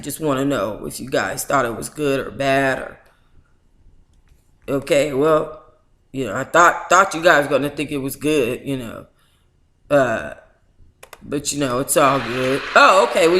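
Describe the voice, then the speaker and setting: A woman's voice: extremely low pitch, animated, unhurried, long pauses, a bit bright. A middle-aged woman hosting a book club livestream, chatty and candid, with colloquial asides as she talks and reads aloud. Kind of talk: casual livestream chat with reading aloud. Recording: roomy, echoing room sound.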